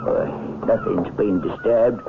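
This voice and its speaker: An actor playing a deferential ship's captain in a radio mystery, gravelly and halting, hemming and hawing. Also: male voice, lowish voice, wildly swooping pitch, full character voice. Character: hesitant, deferential, talkative male ship's captain. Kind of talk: 1970s radio mystery acting. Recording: muffled, noisy vintage radio recording.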